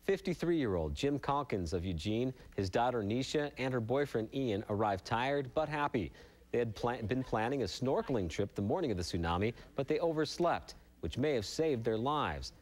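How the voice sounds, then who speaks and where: A man's voice: mid-range voice, expressive, normal speed, a little mellow. A man with an American accent anchoring a TV morning newscast, reading news and chatting informally.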